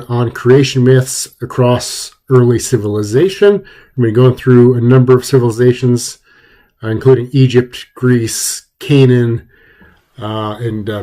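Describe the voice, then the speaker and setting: A man giving an informal introductory lecture in a conversational, somewhat halting way. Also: medium pitch, mellow.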